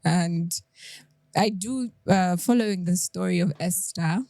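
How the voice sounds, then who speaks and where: A young woman from Uganda, a guest in a casual interview, talking earnestly and sometimes quickly, often retelling her own thoughts aloud. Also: fairly low pitch, vocal fry, mellow, no echo.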